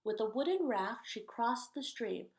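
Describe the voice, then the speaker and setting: A woman's voice: a little high, lively intonation, measured pace. Woman reading a children's picture book aloud. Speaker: children's story read-aloud host. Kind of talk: picture book read-aloud with casual asides.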